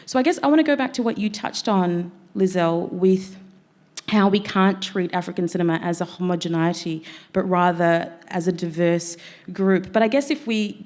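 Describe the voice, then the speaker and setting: A woman in her thirties, raised in Zambia, leads a panel discussion. She talks in a reflective, conversational way and moves between careful asides and quick bursts of speech. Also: fairly low pitch, a little mellow. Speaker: panel moderator.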